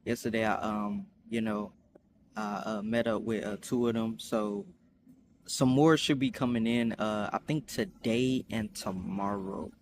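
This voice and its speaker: A young man chatting casually in a storytime vlog, rambling with many fillers and restarts, acting out quotes in higher voices. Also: steady tone, unhurried, a little hiss or hum. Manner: acts out quotes with high voices. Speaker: vlogger.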